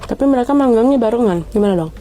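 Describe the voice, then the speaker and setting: A woman's voice: a little high, animated, fast, warm tone. A woman giving a casual, chatty food review as she eats. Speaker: food reviewer in short social videos. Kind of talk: casual mukbang review chat.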